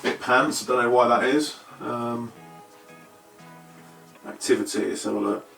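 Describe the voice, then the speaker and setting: A British man presents an informal tech review in a mid-pitched voice. He thinks aloud in short, halting phrases. The sound is echoey and slightly distant, with music in the background. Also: steady tone, gravelly, a bit bright. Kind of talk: casual unscripted review talk. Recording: roomy echo, distant mic, faint music.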